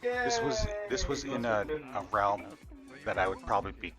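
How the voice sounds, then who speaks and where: A man voicing an earnest dragon shapeshifter in improvised online roleplay, explaining himself in halting, stammered phrases. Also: medium pitch, huge pitch swings, over music. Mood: solemn, insistent. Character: earnest male dragon shapeshifter, once human and robot.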